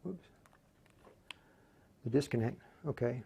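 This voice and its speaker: A man hosting an unscripted live tutorial, speaking haltingly and often muttering to himself as he works. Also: medium pitch, creaky, very noisy. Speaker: host of a live radio tutorial.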